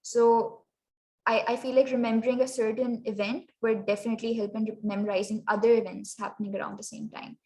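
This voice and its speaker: A young woman from Pakistan, raised speaking Sindhi, with a Pakistani English accent, giving a casual workshop presentation full of fillers and quick asides in Urdu. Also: on the high side, little pitch movement, a little mellow. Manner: chatty, many fillers, slips into Urdu phrases.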